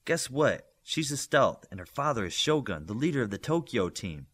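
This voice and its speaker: A man's voice: mid-range voice, big pitch swings, moderate pace, fairly bright tone. Man voicing a bold, teasing teenage telepath girl.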